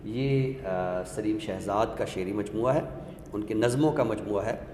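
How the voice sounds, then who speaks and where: A man giving an explanatory literary talk, deliberate and didactic, repeating his points for clarity. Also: on the low side, darkish tone. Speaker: literary expert guest on a discussion show. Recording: echoey hall-like room with noise.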